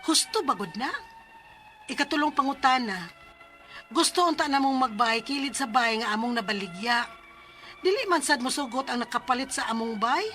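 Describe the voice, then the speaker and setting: An earnest young Cebuano Filipino daughter, respectful as she dramatizes her family's troubles. Her voice is high and bright, with faint music behind it. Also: lively intonation, normal speed. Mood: troubled narration. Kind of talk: radio drama acting and first-person narration.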